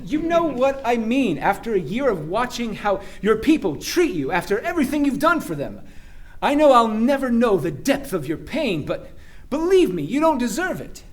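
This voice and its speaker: An actor playing a cocky but sincere starship captain in a sci-fi radio play, with an earnest, wry, halting delivery. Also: male voice, very high voice, big pitch swings.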